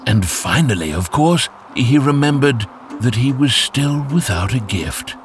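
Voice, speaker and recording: A man narrating a scripted children's fairytale in an expressive, animated storytelling way. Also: mid-range voice, a little slow, faint music behind.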